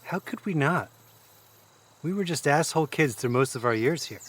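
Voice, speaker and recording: A young man acting in an audio drama as a shaken young man who tells of things earnestly, with halting pauses. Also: fairly high pitch, lively intonation, breathy edge. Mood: casual. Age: young adult. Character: shaken young man recounting an eerie vision.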